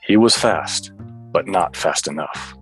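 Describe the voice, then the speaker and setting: A man playing a weary, self-serious hardboiled cop in a radio play, with deadpan noir-style delivery. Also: deep voice, takes it slowly, soft background music. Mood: self-serious narration.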